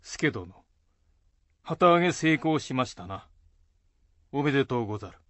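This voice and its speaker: A man's voice: medium pitch, animated, unhurried, dry recording, natural voice. A man playing a hearty, ambitious samurai lord with bluff archaic speech in a period radio drama. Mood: rallying.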